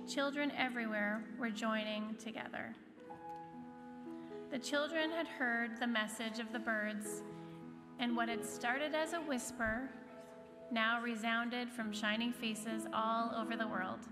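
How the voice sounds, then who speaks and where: A woman's voice: fairly high pitch, steady tone, measured pace. A woman reading a children's storybook aloud as narrator in a large church space. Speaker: story reader. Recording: reverberant hall, music behind the voice. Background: soft instrumental music.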